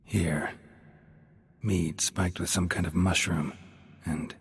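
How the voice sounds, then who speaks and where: An actor playing a gruff, laconic monster hunter in a fantasy video game, gravelly and deadpan, speaking in clipped, understated phrases. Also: male voice, deep voice, slow, full character voice. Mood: terse, pondering. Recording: game music behind the voice.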